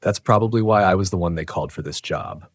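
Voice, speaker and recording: A male narrator with a very deep voice tells a first-person horror tale. His delivery is measured and dramatic, with literary phrasing. The sound is dry, with no room around it. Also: no echo.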